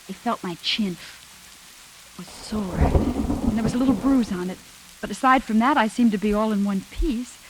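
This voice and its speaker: An American actress playing a frightened, spirited young captive in a 1940s radio drama, breathless and emotive. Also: female voice, mid-range voice, close to an everyday voice. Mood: shaken recounting. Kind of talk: 1940s radio acting, dramatic first-person narration. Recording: noisy 1940s radio recording, rain behind. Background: rain and vehicle sounds.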